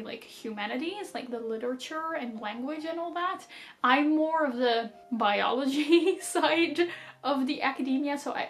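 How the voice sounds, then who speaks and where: A young woman in her twenties with a Dutch accent, chatting casually to the camera about books while she does her makeup, laughing often. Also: fairly high pitch. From Netherlands or Flanders. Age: 20s. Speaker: book vlogger. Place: small decorated bedroom, indoors.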